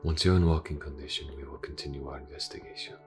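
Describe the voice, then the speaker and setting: A man voicing a calm, reserved police detective in an audio roleplay, speaking formally and with gentle reassurance to a wounded partner. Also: extremely low pitch, loud music behind the voice. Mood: caring. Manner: measured, formal, gently reassuring, hesitant pauses. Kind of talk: audio roleplay, character acting.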